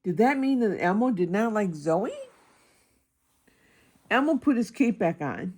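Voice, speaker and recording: A woman's voice: medium pitch, lively intonation, measured pace, a little mellow. A woman narrating a children's picture book aloud as a storybook reader.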